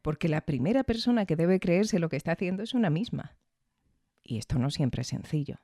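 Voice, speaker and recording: Woman podcast host, gravelly, mellow, reflective scripted review. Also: on the low side, lively intonation, no room sound, studio quality. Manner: reflective, measured.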